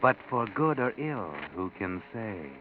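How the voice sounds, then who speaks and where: An actor playing a knowing, ominous narrator of a 1940s radio mystery, needling the listener with insinuating questions. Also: male voice, on the low side, animated, measured pace, a little hiss or hum. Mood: sly, ominous. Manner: addresses the heroine directly with needling questions. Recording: tinny 1940s radio recording, music behind.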